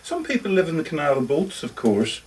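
Man reading as lonely awkward lodger, very animated, distant mic. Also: fairly high pitch, moderate pace. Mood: wistful. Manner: hesitant, confiding, defensive, self-correcting. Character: lonely, self-justifying, socially awkward older male lodger. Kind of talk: first-person short story monologue read aloud. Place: live reading in a bookshop.

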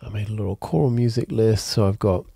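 A man's voice: fairly low pitch, fairly quick, creaky, dark tone, breathy edge, dry recording. A man in his thirties, stuffy and congested, hosting a podcast from his home music studio in a rambling, frank way, correcting himself as he goes. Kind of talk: casual solo talk while playing piano. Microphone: studio mic on boom arm, headphones on. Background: his own piano playing.

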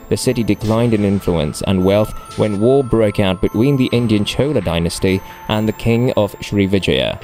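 Male voice-over narrator with a lowish voice, steady scripted read over faint music. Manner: even, reading a list script.

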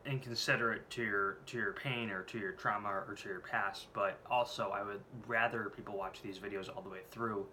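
Low-voiced man with a scratchy head cold. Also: fairly even intonation, normal speed, few pauses, pushed and bright. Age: late 20s. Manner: candid and rambling, swears freely. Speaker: vlogger. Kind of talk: casual personal vlog. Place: small room at home, indoors.

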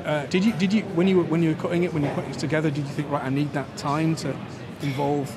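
A man's voice: on the high side, steady tone, rapid-fire. Older man interviewing amid crowd chatter. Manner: rambling, many restarts. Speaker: festival interviewer. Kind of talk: casual interview. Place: festival media wall, indoors, busy. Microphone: handheld mic. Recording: noisy crowd around.

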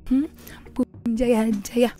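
Hoarse young woman, playful and chatty. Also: on the high side, level tone, extremely slow, dark tone, faint music behind. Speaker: reaction video host. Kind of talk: casual chat to camera. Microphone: handheld microphone.

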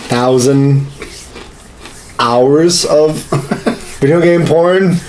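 A man in his thirties, a podcast co-host chatting casually and telling stories in a halting, drawn-out way. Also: a little high, a little mellow, slight background noise, low-quality recording. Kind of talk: casual chat. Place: small home studio room, indoors.

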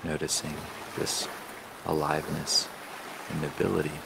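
Man, meditation guide, extremely low pitch, fairly even intonation, slow measured phrasing, soothing, loud flowing water behind the voice. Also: creaky. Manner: slow, soothing, measured delivery with long pauses. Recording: loud ambient water noise throughout. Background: flowing water and ocean ambience.

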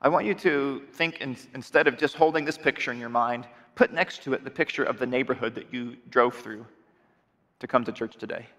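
A man's voice: medium pitch, a little fast, a bit bright. A man in his forties preaching an earnest sermon appeal from a church stage, often restarting and repeating words. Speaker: pastor. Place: dark church stage with screens. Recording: long reverb of a large hall.

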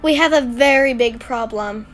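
A woman voicing a gentle, worried mother with a coaxing manner in an amateur game roleplay drama. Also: fairly high pitch, moderate pace, faint music behind, natural voice.

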